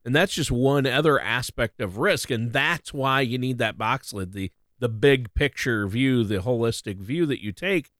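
A man's voice: medium pitch, moderate pace, few pauses, fairly bright tone, dry recording. Male financial radio host, dry sound. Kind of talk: conversational financial radio show hosting.

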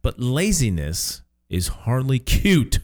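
A man's voice: medium pitch, sing-song, takes it slowly. A man co-hosting a radio show in a conversational, part-scripted style, with a jokey, emphatic manner.

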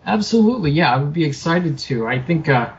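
A man's voice: fairly high pitch, lively intonation, fairly quick, dull audio. A man chatting casually as an interview guest, rambling and self-deprecating. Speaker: podcast guest, author and film producer.

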